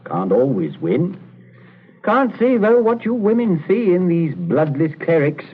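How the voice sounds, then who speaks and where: Bluff, animated captain on old radio. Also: male voice, fairly high pitch, a little slow. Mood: chatty, needling. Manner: hearty, clipped, old-fashioned gentlemanly phrasing. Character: bluff, jovial, chatty gentleman captain and neighbour. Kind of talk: 1940s radio acting. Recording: muffled, noisy 1940s radio recording.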